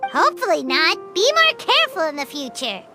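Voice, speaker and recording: A woman's voice: very animated, moderate pace, over loud music, heavily acted. A woman voicing a tiny, childlike fairy companion in a video game, blunt, bold and cheeky. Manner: squeaky, blunt, exclaiming.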